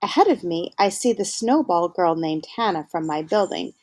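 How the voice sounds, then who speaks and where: A woman reading a children's novel aloud in a gentle storytelling manner, pausing to ask her listeners questions. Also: fairly low pitch, animated, no room sound. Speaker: read-aloud narrator.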